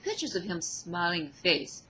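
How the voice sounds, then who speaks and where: A woman reading fan fiction aloud as an expressive story narrator. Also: on the low side, measured pace, slight background noise, low-quality recording.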